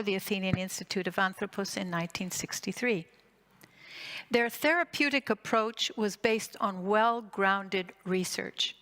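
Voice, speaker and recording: Measured woman in her sixties, lecturing. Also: medium pitch, fairly bright tone. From Greece and Canada. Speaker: psychotherapist giving a conference talk. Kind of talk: prepared conference lecture with personal story.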